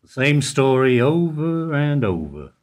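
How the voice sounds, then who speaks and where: An older actor playing a calm, philosophical bank clerk who gives short, evasive answers in a radio play. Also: male voice, mid-range voice. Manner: measured, terse, evasive replies. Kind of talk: amateur radio play acting.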